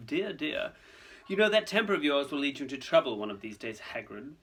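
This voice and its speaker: A man voicing a cold, smug aristocratic villain with a lazy, smooth, mock-polite sneer, in a storybook. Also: a little high, big pitch swings, brisk, fairly bright tone, voice pushed up. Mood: silky menace.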